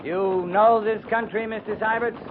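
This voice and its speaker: An actor playing a stern, weary but caring cavalry captain with terse, commanding speech in a 1950s radio western. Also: male voice, high voice, fairly even intonation, normal speed, phone-quality sound, natural voice. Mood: stern, testing. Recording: noisy, thin 1950s radio recording.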